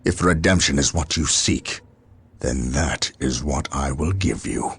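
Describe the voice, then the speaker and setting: Very deep, grim warrior captain. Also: male voice, creaky, slightly rough, exaggerated voice. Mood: cold judgment. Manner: grave, deliberate delivery. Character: stern battle-hardened male space marine captain, unforgiving, resolute. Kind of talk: dramatic video game cutscene acting. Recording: dramatic music behind the voice.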